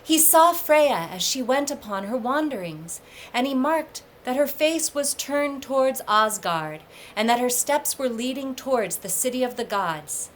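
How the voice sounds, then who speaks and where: A woman reading a mythic storybook aloud as a storyteller, in a measured, deliberate manner with emphatic pauses. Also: high-pitched, animated, a little hiss or hum. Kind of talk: scripted storybook narration of a myth.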